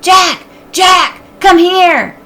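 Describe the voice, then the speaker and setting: Woman as an excitable, high-pitched little girl. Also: very slow, heavily acted. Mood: eager calling. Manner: exclamatory, calling out eagerly. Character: excitable, bold little girl, adventurous and loud.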